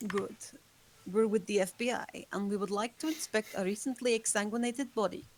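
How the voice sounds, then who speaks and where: A woman reading an ancient, hypnotic female vampire at a table read, calm and soft-spoken. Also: medium pitch, moderate pace, lightly acted. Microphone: online voice call.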